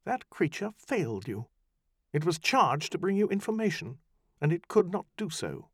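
A man voicing an impatient, imperious woman magician who speaks in brisk, commanding tones. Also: high-pitched, lively intonation, dry recording, studio quality, pitched above the performer's own voice.

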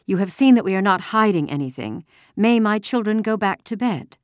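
A woman's voice: on the low side, expressive, moderate pace, dry recording, clean studio sound, pitched above the performer's own voice, close to an everyday voice. A woman voicing a protective mother in an audiobook, polite and restrained but firm. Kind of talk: audiobook character voice.

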